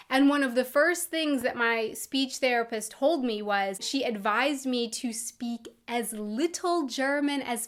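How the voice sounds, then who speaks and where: A young woman from the United States with an American accent, chatting casually to camera as a vlogger, expressive and quick to laugh. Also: a little high. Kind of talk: casual vlog talk to camera.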